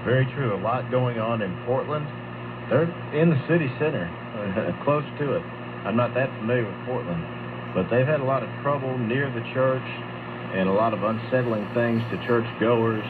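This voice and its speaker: A man hosting a conversational radio show over shortwave, speaking in a measured, deliberate way. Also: medium pitch. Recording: noisy narrow-band shortwave radio reception.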